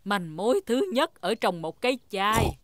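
A woman's voice: mid-range voice, animated, a little slow, dry recording, close to an everyday voice. A woman voicing a kindly old granny pig in a children's cartoon dub, teasing and helpful.

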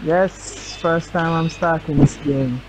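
A man's voice: fairly high pitch, fairly even intonation, slow pace, background noise. A man streaming a fighting game, chatting casually with a friend, laughing and swearing in slangy banter. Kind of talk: casual banter while gaming. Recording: game music loud behind.

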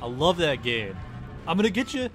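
Cocky, foul-mouthed man over loud music. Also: on the high side, big pitch swings, normal speed. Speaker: parody dub performer voicing a game protagonist. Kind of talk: comedic character commentary over game footage.

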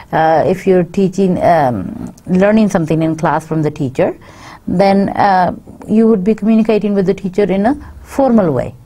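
A middle-aged woman from Pakistan with a Pakistani accent, giving a recorded university lecture and switching between slow English explanations and quick Urdu asides. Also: fairly low pitch, warm tone. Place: studio set with dark backdrop. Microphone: fixed camera, seated mid shot.

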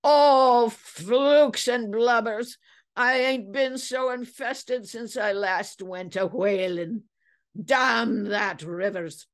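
A woman voicing a crazed, ragged old male whaler in a storybook, creaky and shrill, ranting in salty sailor talk. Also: very slow. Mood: ranting, vengeful. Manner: salty nautical ranting, shrieking and snarling.

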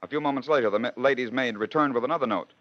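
A man's voice: medium pitch, very fast. A man hosting and narrating in scripted 1940s radio style, with a rhetorical storytelling manner. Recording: dull old radio broadcast.

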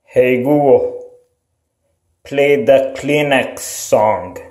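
A man in a small bathroom, slowly and flatly giving voice commands. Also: medium pitch. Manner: slow, flat, deliberate commands. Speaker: video creator. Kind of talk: repeated voice commands. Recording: echoey bathroom.

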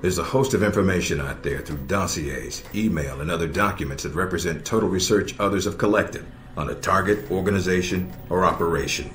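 An actor playing a calm, sardonic middle-aged spy handler in a video game, measured and dryly blunt. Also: male voice, low-pitched, a little hiss or hum. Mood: measured, instructive. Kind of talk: video game cutscene acting. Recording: roomy echo with music behind.

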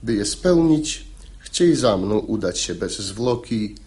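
An actor playing a stern, dignified royal officer in a verse radio play, formal and authoritative. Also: male voice, lowish voice, expressive, slow pace, a little mellow, slightly rough, exaggerated voice. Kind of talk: verse stage comedy performed as radio drama.

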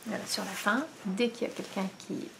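A woman's voice: mid-range voice, fairly even intonation, brisk, gravelly, a bit bright, poor audio. A woman in her thirties chats to a camera in her living room, rambling along with plenty of fillers and restarts. Her voice sits in a middle range for a woman. A faint hum of the room sits behind her. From France. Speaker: knitting vlogger. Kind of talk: casual chat to camera. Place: living room at home, indoors. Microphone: camera in front, head and shoulders.

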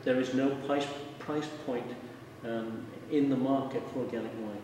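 A man's voice: mid-range voice, fairly even intonation, moderate pace, mellow, recorded from a distance, slight background noise. Blunt older man in an echoey room. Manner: blunt, emphatic, repeats words while thinking. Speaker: winery owner and winemaker. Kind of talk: casual interview. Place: large winery tasting room, indoors. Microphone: handheld mic, wide camera.

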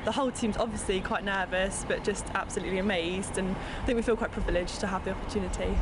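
A young woman, a student athlete, talking excitedly and informally in an interview, using lots of filler "like". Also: mid-range voice, fast. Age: young adult. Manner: gushing, excited, peppered with "like". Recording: clear outdoor background noise.

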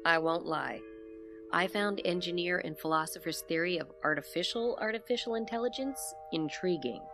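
A woman's voice: lowish voice, moderate pace, fairly bright tone. An actress playing a curious, candid female investigator, narrating an audio drama in a reflective, inquisitive manner. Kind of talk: scripted first-person audio drama narration. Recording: music bed behind the voice.

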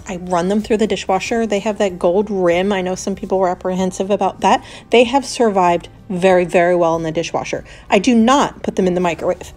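A woman in her thirties chatting through a voice-over for her home cleaning vlog, relaxed and friendly, with the odd small laugh. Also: mid-range voice. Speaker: homemaking vlogger.

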